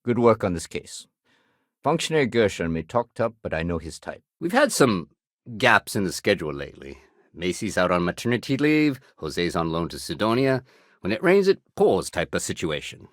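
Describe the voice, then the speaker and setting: A man's voice: low voice, big pitch swings, moderate pace, no echo, clean studio sound. A man voicing a dutiful, weary security sergeant in a sci-fi video game, speaking in a clipped, businesslike but conversational way. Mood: appreciative, sincere, then casual, easygoing. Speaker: security officer. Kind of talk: video game dialogue acting.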